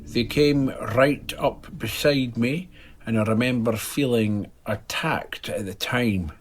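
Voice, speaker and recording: A man's voice: mid-range voice, slow pace, a little hiss or hum, close to an everyday voice. A man playing a solemn, shaken forester giving witness testimony about a frightening attack. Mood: shaken, recalling.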